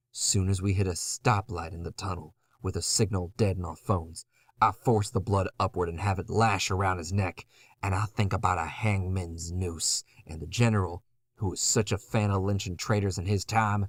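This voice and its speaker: Low-voiced, gritty man as an undead antihero. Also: dry recording. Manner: wry, conversational, gritty storytelling. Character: battered, foul-mouthed undead urban antihero, wry and weary. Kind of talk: first-person horror audio drama narration.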